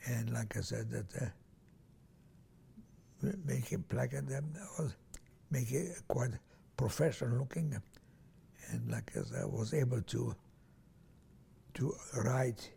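Older man, Hungarian native, slow and husky, oral history interviewee. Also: medium pitch, warm tone. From Transylvania, Romania. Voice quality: gravelly, husky, hesitant with many restarts.

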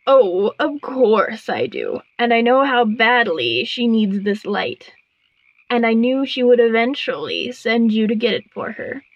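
A woman voicing a sly, commanding frog queen in a storybook tale, croaking and drawing her words out haughtily. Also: on the high side, big pitch swings, no echo, dull audio, exaggerated voice. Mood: commanding, scheming. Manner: slow, drawn-out, haughty delivery.

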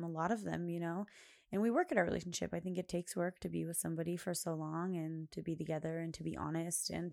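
A woman hosting her own podcast, chatting casually in a loud, carrying voice, rambling with many fillers and frequent laughs. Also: on the low side, creaky, no room sound, studio quality. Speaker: podcast host. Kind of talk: casual chat.

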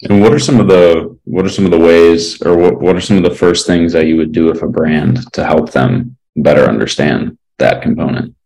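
Deep-voiced young man hosting a podcast. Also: normal speed, few pauses, rough recording. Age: 20s. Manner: relaxed, hesitant, with many restarts. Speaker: podcast host. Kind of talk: podcast interview.

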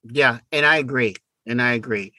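A man's voice: mid-range voice, normal speed, pushed and bright, dry recording, poor audio. A man co-hosting a podcast in casual banter, playful and joking. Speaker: podcast co-host.

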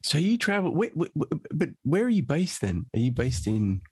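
A man's voice: on the high side, big pitch swings, moderate pace, darkish tone, husky, no room sound. A man chatting as a podcast guest, eager and enthusiastic, often calling people "man" and "dude". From Australia. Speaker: guest musician. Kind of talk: casual conversation.

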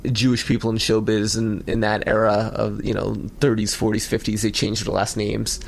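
An American man around his thirties or forties chatting casually as a podcast co-host, talking in a rambling, halting way. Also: lowish voice, completely flat delivery, vocal fry. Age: 30s or 40s. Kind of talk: casual chat about a cartoon episode.

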